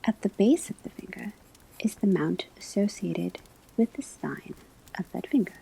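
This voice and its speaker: A woman whispering in a breathy, husky voice, delivering a scripted ASMR classroom lecture as a teacher, with deliberate, measured phrasing. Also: medium pitch, lively intonation, warm tone, poor audio. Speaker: ASMR performer playing a divination class teacher.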